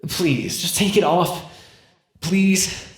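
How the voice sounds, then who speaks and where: A man's voice: high voice, drawn-out words, breathy edge, heavily acted. A man playing an imprisoned minor supervillain with mind powers in an ASMR roleplay, talking straight to the listener in a theatrical, rambling way. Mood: pleading, aggrieved. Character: imprisoned minor supervillain with mind control, chatty, scheming, smug.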